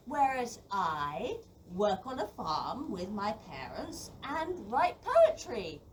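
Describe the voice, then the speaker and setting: A woman's voice: high voice, heavily acted. A woman playing an earnest, pleading young farm boy in an audio play. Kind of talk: community audio play acting. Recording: distant mic with faint background noise.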